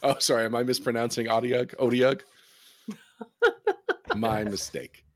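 A man's voice: mid-range voice, little pitch movement, a little fast, dry recording, studio quality. A man with a Canadian accent running a tabletop game as game master, bantering and laughing often with his players.

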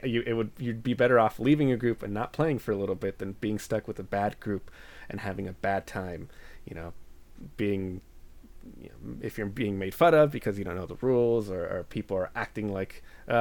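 A man co-hosting a casual podcast, giving earnest, reassuring advice and often stumbling and restarting. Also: lowish voice. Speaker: podcast co-host.

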